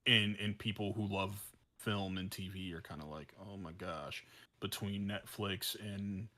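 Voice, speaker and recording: A man's voice: low voice, fairly even intonation, unhurried, sharp tone. A man co-hosting a podcast in a casual chat, talking in a hesitant, rambling way with repeated words. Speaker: podcast co-host.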